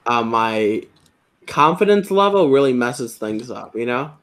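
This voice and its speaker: A young man with a slight lisp, chatting casually as a video host, self-deprecating and trailing off quietly at the ends of his phrases. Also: medium pitch, expressive, measured pace. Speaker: YouTube Lego channel host. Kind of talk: casual chat.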